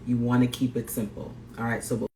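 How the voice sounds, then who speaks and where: Upbeat male chef hosting a live cooking demo, mid-pitched voice, roomy recording. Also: completely flat delivery, a little fast, mellow. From Guyana. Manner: upbeat, greets viewers, repeats phrases. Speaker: plant-based chef and health coach. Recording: roomy echo, faint background noise.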